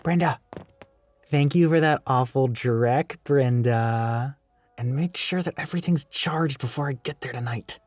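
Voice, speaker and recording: A man performs a flamboyant, mischievous shop coworker in an audio drama. The delivery is catty and theatrical, full of sassy teasing aimed at a sibling. The pitch sits in an ordinary male middle range. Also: unhurried, darkish tone, dry recording, natural voice. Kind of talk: acted audio roleplay.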